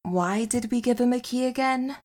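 A woman's voice: a little high, moderate pace, darkish tone, close to an everyday voice. A woman playing an affectionate, teasing girlfriend in a comedic audio drama, with a natural delivery.

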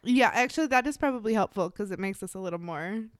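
A young woman from the Midwest, co-hosting a podcast in casual, giggly chat with quick asides. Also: medium pitch, animated, no room sound. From American Midwest. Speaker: podcast co-host.

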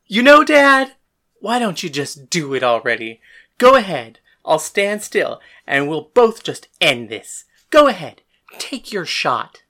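Death god, narrating lead in audio drama: female actor, deep-pitched, brooding, bitter, defiant. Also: animated, normal speed, a bit bright, full character voice. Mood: furious, defiant. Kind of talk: first-person dramatic narration and dialogue.

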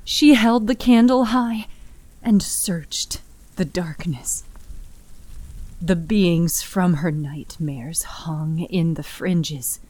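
Very slow, grave woman narrating horror. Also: on the low side, darkish tone, dry recording. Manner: grave, breathy emphasis, often dropping to near whisper. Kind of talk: dramatic scripted narration of a horror story.

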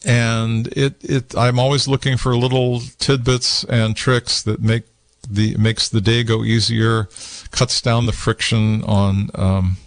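A man hosting a casual call-in tech show from a small radio studio, talking in a relaxed, rambling way with lots of fillers and restarts. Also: medium pitch, slow pace, no room sound. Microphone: studio microphone with headphones.

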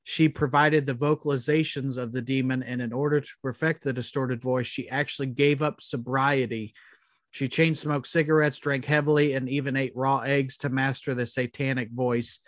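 A man co-hosting a casual podcast, chatting and reading out trivia in a halting way. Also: medium pitch, steady tone, no room sound, studio quality. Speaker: podcast co-host.

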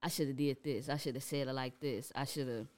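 A young woman with a Chicago accent, a rapper talking casually in an interview, relaxed and laughing often. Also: rumbling low, fairly quick. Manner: laid-back, laughs often, many fillers. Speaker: rapper guest.

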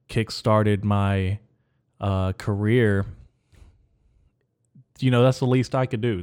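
A man born in Mexico hosting a podcast from his bedroom, rambling through absurd dark jokes in a straight, deadpan delivery with many ums. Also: on the low side, clean tone. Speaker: podcast host. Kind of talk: rambling deadpan comedic monologue.

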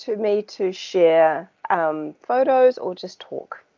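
A woman with a New Zealand accent, a podcast guest who talks in a relaxed, chatty way with small laughs and filler words. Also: on the low side, slow pace. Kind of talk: casual conversational interview. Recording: dull lo-fi sound.

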